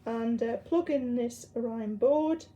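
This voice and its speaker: A woman presenting a hobby project in an informal, unscripted demonstration, explaining things in a hesitant, halting way. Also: a little high, lo-fi sound, a little hiss or hum.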